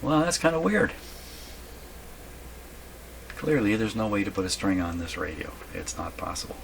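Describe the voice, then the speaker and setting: A man giving unscripted, halting commentary as he thinks aloud while doing hands-on repair work. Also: fairly low pitch, very animated, a bit bright. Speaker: radio repair hobbyist narrating a workshop video. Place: indoor radio repair workshop. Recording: clearly noisy workshop recording.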